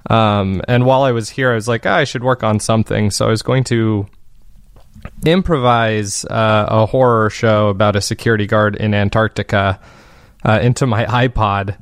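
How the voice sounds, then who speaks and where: Low-voiced man, dry close sound. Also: steady tone, normal speed, smooth, dry recording. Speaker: fiction podcast maker, interview guest. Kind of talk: casual interview chat.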